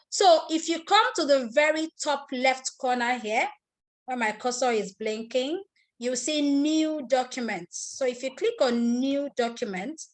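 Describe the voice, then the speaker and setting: A Nigerian woman, a native Igbo speaker, teaching an online class over a video call, explaining step by step, repeating herself and asking attendees questions. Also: on the high side. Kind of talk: live screen-share tutorial.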